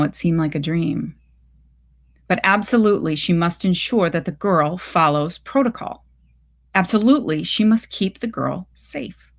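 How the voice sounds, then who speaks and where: A woman reading a literary short story aloud in measured, deliberate phrases. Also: lowish voice, creaky.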